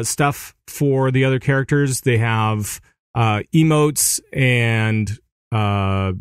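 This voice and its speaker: A man hosting a casual gaming podcast, animated and excitable, laughing often as he explains things. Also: medium pitch, clean tone, no room sound, clean studio sound. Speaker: podcast host.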